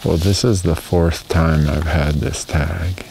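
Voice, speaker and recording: A man's voice: rumbling low, fairly even intonation, slow, gravelly, darkish tone, a little hiss or hum. A man in his fifties hosting a hunting trip, chatting wryly on a snowy mountain trail and dropping to a whisper near game. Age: 50s. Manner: easygoing, wry, drops to a whisper near game. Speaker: hunting show host. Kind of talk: casual trail talk on a hunt. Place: snowy mountain forest outdoors.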